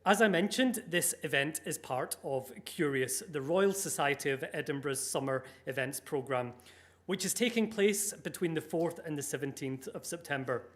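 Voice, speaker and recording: A man with a fairly high voice hosts an evening lecture. He reads a formal welcome and speaker introduction in an upbeat, announcer-like way. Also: lively intonation, normal speed. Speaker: event host. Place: lecture room with audience.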